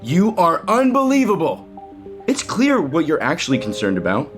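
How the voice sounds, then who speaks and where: A man's voice: on the high side, wildly swooping pitch, normal speed, soft background music. A man acting in an audio soap opera as a defensive, sarcastic younger brother who runs the family company and drinks too much. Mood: angry, accusing. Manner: irritable, sarcastic.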